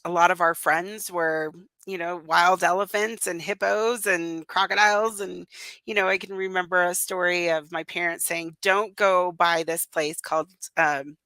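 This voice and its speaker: A woman's voice: lowish voice, steady tone, moderate pace, sharp tone, no room sound. A woman whose first language was Russian, talking casually as an interview guest, with many fillers and restarts. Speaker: interview guest, communications business founder. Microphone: remote call.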